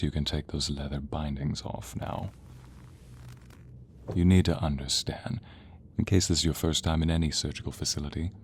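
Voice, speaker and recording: A man voicing a sadistic, theatrical sorcerer villain in an audio roleplay, silky and menacing, drawing out words and slipping into breathy whispers. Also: extremely low pitch, slight background noise, pitched below the performer's own voice. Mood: clinical, controlled. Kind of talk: audio roleplay acting addressed to the listener.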